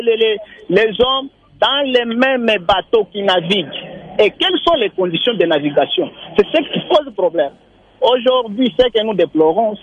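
A young man from Maniema calling in to a radio show, complaining in a halting way and repeating words. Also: high-pitched, slightly rough, muffled recording. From Maniema, Congo. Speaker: radio caller. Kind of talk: call-in comment.

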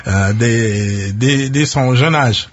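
A man's voice: mid-range voice, slow. A man hosting a radio call-in and moderating questions. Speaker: radio host. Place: radio broadcast. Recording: dull noisy radio broadcast.

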